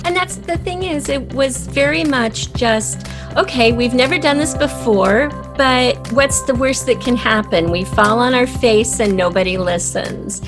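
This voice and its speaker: A middle-aged woman with a fairly high voice tells anecdotes as an interview guest. Her delivery is chatty and casual, and music is clearly audible behind her. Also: a little slow. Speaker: podcast guest, audio drama writer.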